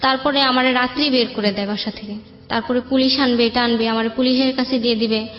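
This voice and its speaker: A woman's voice: fairly high pitch, steady tone, a little fast. A young woman with a Bangladeshi accent recounts her life in a colloquial, rambling way as an interview guest. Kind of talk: personal storytelling in an interview. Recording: dull roomy sound, background music.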